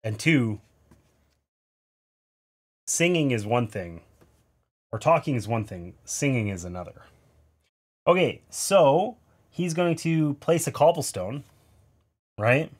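A man in his thirties with a nasal, mid-pitched voice talks through a tabletop game from an indoor gaming table. He reasons each move aloud in a relaxed, casual way and drops wry little asides as he goes. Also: slow, long pauses. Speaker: board game streamer. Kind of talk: casual play-through commentary.

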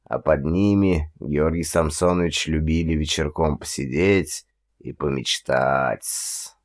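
A man's voice: a bass voice, slow, pitched below the performer's own voice. A man voicing a grey old Siberian former police chief, boastful and nostalgic, rambling in an old-fashioned deferential way for an audiobook. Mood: nostalgic, reverent. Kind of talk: audiobook character dialogue.

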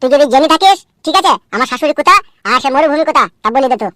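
A high-voiced performer, heard as a woman, voicing an angry, foul-mouthed son-in-law in a comic cartoon. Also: rapid-fire, a bit bright, no room sound, clean studio sound. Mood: angry, ranting.

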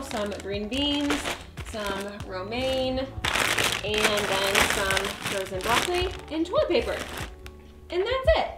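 A young woman vlogging at home, chatting quickly and cheerfully straight to the camera about her day. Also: medium pitch. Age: young adult. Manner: bubbly, fast, talks straight to camera. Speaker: lifestyle vlogger. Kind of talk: casual chatty vlog talk. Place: bright home kitchen and living room.

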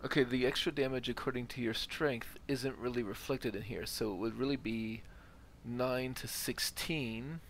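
A man's voice: medium pitch, steady tone, normal speed, fairly bright tone. Man thinking aloud, noisy game ambience behind. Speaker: gaming video host. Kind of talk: casual gameplay commentary, thinking aloud.

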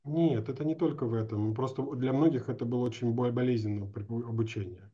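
A man's voice: mid-range voice, fairly quick, mellow, breathy edge. A man in his forties talking casually and informally in an interview, thinking aloud in slangy language. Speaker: animation teacher. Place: indoors at home or office, on a video call. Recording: dull lo-fi video call audio.

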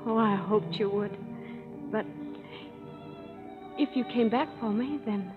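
A woman's voice: mid-range voice, slow, lightly acted. Lovestruck young woman, played by an actress, old radio play, muffled under music. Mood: loving. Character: young woman in love, hopeful and playful. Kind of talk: 1930s radio drama acting.

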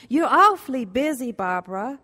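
An actress playing a frank, concerned young college roommate in a radio drama, speaking bluntly. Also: female voice, high-pitched, big pitch swings, moderate pace, bright, forceful tone, long reverb tail, exaggerated voice. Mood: admonishing.

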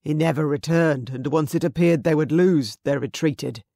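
A narrator voicing a protective, commanding Scottish Highland warrior chief who gives firm, clipped orders in a Scottish accent. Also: normal speed, gravelly, dark tone, no room sound, studio quality.

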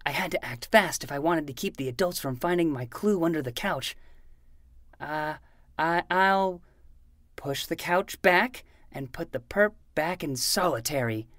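A woman's voice: deep voice, lively intonation, measured pace, a bit bright, no room sound, clean studio sound. A woman voicing an eager, self-important twelve-year-old boy who plays detective with dramatic hard-boiled delivery in a fiction podcast. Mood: nervous, scheming. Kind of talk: fiction podcast acting and narration.

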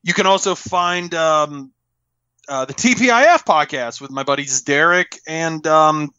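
A man with a loud voice telling anecdotes in casual, excitable chat as a podcast guest. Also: fairly high pitch, a little slow, smooth, no room sound. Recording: dull, lo-fi microphone.

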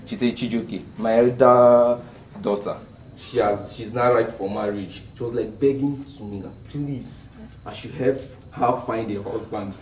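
A man's voice: medium pitch, fairly even intonation, measured pace, a little mellow, a little hiss or hum, natural voice. A man playing a cheeky young male tenant in a comedy skit. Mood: teasing. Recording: roomy sound with faint music.

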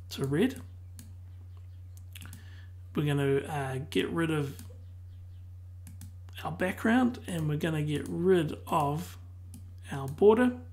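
A tutor losing their voice who keeps clearing their throat, calmly explaining a software tutorial one step at a time. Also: mid-range voice. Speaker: web design tutor. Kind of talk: screen-recorded software tutorial.

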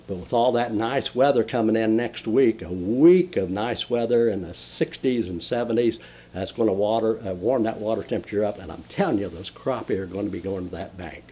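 An older man hosting a folksy fishing report from a den, talking with lively enthusiasm and frequent fillers and restarts. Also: fairly low pitch, a little fast, breathy edge. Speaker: fishing show host. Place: indoor den with mounted fish on the wall.

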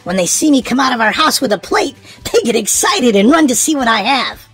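A woman reads a children's storybook aloud in an expressive, sing-song way, leaning on key words for young listeners. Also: on the high side, fairly quick, husky, faint music behind.

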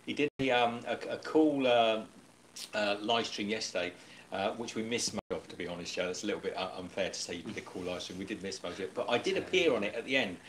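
A man's voice: fairly low pitch, fast talker, slight background noise, rough recording. A middle-aged man hosting a casual live stream from his home kitchen, chatty and rambling. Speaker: host. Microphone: webcam on table.

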